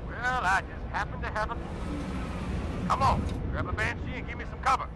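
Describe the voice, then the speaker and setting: An actor playing a brash, blunt marine sergeant in a video game, gruff and forceful. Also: male voice, extremely high pitch, fairly quick, very noisy. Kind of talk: video game drama acting.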